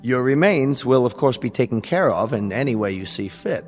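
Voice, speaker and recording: An actor in a radio drama playing a smooth, courteous salesman with polished, businesslike patter. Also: medium pitch, lively intonation, moderate pace, a little mellow, lightly acted. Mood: smooth, reassuring. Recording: long hall reverb, music underneath.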